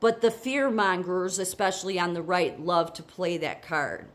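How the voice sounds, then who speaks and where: Woman, lower voice, congested with a cold, casual talky commentary, lots of restarts and "okay?" asides. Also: normal speed. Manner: chatty, frequent restarts and asides, asks "okay?". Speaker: political commentator. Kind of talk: casual commentary reading a news article aloud.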